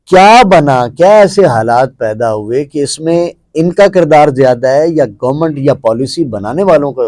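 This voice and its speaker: A man's voice: on the high side, expressive, darkish tone, no echo. A man with a Pakistani accent interviewing a guest, asking long, emphatic questions that repeat points and mix in English words.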